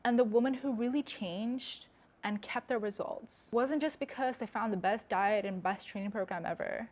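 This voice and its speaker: A young woman with an American accent, hosting a casual vlog in a direct, emphatic, candid way. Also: medium pitch. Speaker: host. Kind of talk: casual talking-head vlog.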